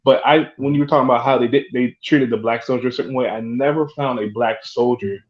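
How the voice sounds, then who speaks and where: A man chatting casually and telling stories, halting as he searches for words, over an online voice chat. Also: medium pitch, fairly even intonation, lo-fi sound. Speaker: group chat participant.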